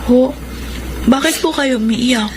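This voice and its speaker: An actress playing a concerned, protective young daughter in a Filipino radio drama, earnest and pleading. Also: female voice, sits high, a little slow, noisy background.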